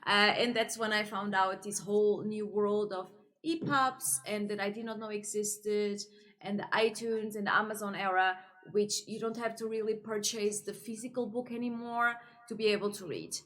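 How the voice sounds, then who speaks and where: A woman's voice: mid-range voice, fairly even intonation, normal speed, fairly bright tone. A young woman from Portugal chatting casually to camera about her reading, with many fillers and frequent laughs. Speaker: book vlogger.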